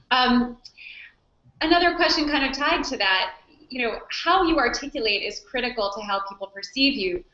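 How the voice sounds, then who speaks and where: A woman hosting an online interview, asking questions in a brisk, friendly way over a video call. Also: expressive, bright, forceful tone, lo-fi sound. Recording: echoey low-fi video call audio.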